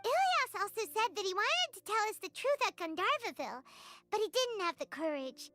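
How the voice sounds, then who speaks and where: Tiny fairy sidekick for a game: very high, squeaky, bright, childlike, blunt and curious. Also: female voice, big pitch swings, brisk, heavily acted. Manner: speaks of herself in the third person, exclaiming. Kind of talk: animated video game voice acting. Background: music.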